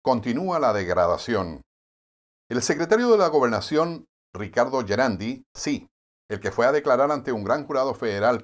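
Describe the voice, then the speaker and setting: A man with a Puerto Rican accent narrating a news commentary review of the year, in a wry, sardonic editorial tone. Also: medium pitch. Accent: Puerto Rican Spanish.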